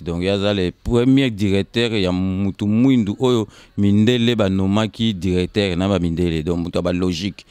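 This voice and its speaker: A Congolese man in his fifties tells his story as an interview guest. His voice is on the low side and recorded dry, and he moves in halting, emphatic bursts, often repeating himself. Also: a little mellow, no room sound. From Kinshasa, Congo. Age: 50s. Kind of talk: casual interview storytelling, switching between Lingala and French. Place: indoors on a sofa. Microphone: handheld microphone held by interviewer.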